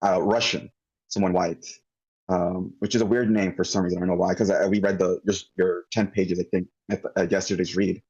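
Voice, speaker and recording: A man giving off-the-cuff script feedback on an online group call, halting and circling back mid-thought. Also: low voice, little pitch movement, a little mellow, husky, muffled recording. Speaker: table read participant giving script feedback.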